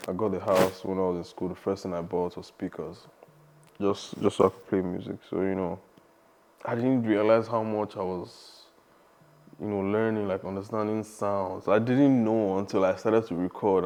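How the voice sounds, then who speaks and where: A young man, talking casually as a podcast guest in a relaxed, rambling way. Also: fairly low pitch, moderate pace. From Nigeria. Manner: relaxed, rambling, many fillers and restarts.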